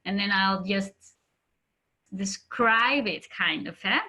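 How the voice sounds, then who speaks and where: A woman's voice: mid-range voice, takes it slowly, pushed and bright. A woman whose first language is not English, chatting casually on a panel, hesitating and searching for words. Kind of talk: casual group discussion.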